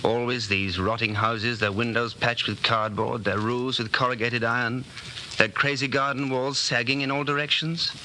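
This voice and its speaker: A tormented, secretly rebellious British man of thirty-nine in an old radio play. He broods and questions in a very bright, tense voice at middle pitch, with faint broadcast noise. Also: level tone, normal speed. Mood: brooding, anguished. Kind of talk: 1940s radio acting. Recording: faintly noisy 1949 radio recording.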